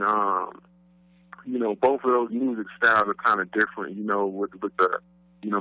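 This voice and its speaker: A man's voice: fairly low pitch, dry recording. A man from Brooklyn with a New York accent, a rapper talking casually about his roots in a laid-back way, full of "you know what I mean.". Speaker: guest rapper. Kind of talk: casual interview talk. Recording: dry, phone-like radio line.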